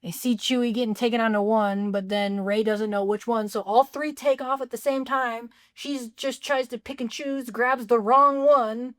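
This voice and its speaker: A woman co-hosting a film podcast, sarcastically picking apart a movie and pitching better scenes. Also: fairly even intonation, normal speed, few pauses, a bit bright. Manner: sarcastic, agreeing, rewriting scenes. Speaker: podcast co-host. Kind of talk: casual film critique chat.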